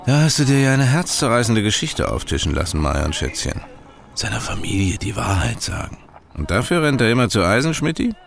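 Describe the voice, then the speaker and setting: Low, creaky, sneering man. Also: very animated, brisk, soft background music. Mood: mocking. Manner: mocking, insinuating. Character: sardonic, jealous, menacing former lover. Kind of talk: radio drama acting.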